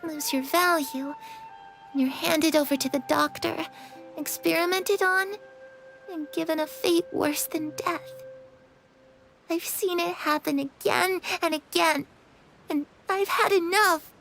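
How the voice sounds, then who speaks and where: An actress voicing a lonely, sad young girl in a game cutscene, speaking softly and wistfully. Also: extremely high pitch, steady tone, slow, gravelly, pauses often, faint music behind, exaggerated voice. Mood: bitter. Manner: soft, wistful and hushed.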